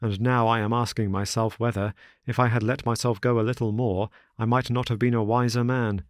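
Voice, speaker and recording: A man voicing an anxious, careworn young gentleman who speaks in an urgent, distressed way. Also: mid-range voice, flat delivery, a little fast, no echo, clean studio sound, voice pushed up, natural voice.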